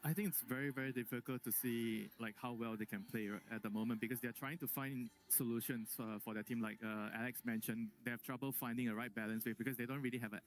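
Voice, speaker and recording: A man's voice: mid-range voice, fairly even intonation, fast talker, few pauses. A man giving analysis as an expert on an esports panel.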